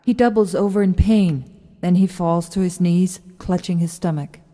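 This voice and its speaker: A woman delivers scripted narration as a narrator of stage directions. Her voice is slightly low and mellow, with a long hall reverb around it. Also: moderate pace.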